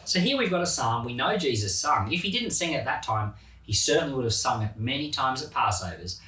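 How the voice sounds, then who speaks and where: A pastor reads scripture and talks it over in a lively, chatty way, his pitch rising and falling a lot. His voice is bright and forward. The room echoes and he sits back from the mic. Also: brisk. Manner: animated, chatty, laughs at his own points. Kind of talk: Bible reading and informal teaching. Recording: roomy echo, mic at a distance.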